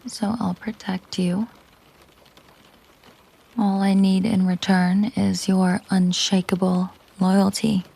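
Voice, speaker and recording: Woman playing a slow, breathy female mafia boss. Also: medium pitch, level tone, full character voice. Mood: protective, possessive. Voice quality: soft, breathy, often slipping into whisper. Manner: slow, deliberate, coolly possessive. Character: commanding female mafia boss, possessive, coldly tender girlfriend. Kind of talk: intimate roleplay audio drama, soft slow delivery.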